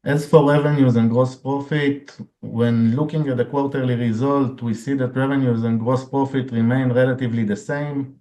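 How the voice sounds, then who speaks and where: A man's voice: medium pitch, fairly even intonation, normal speed, few pauses, warm tone, rough recording. A man speaking as a finance chief, formally reading out figures from a scripted financial report on an earnings call. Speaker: chief financial officer presenting results.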